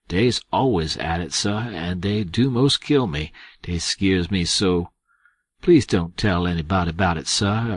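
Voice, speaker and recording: A man's voice: sits low, slightly rough, no echo, full character voice. A man voicing a frightened, superstitious older man servant, speaking in a fretful Southern drawl.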